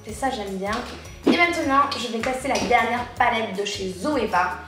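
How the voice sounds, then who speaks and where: A woman's voice: fairly high pitch, normal speed, far from the mic. A woman with a cold chatting casually as a beauty vlogger while she tests and applies makeup. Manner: chatty, rambling, frequent fillers. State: congested with a cold. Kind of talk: casual makeup chat while applying products. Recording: very echoey room, off-mic, faint music.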